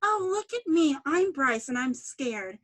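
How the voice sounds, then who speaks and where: A woman's voice: high voice, sing-song, measured pace, pitched above the performer's own voice. A woman voicing a whiny, mocking cartoon hero who exaggerates a scared rival.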